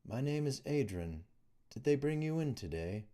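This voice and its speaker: A man voicing a resigned male prisoner in an audiobook, speaking in a smooth, light, confiding whisper. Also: mid-range voice, big pitch swings, normal speed, pitched above the performer's own voice, natural voice. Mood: hushed, curious. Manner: hushed, confiding. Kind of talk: audiobook character voice.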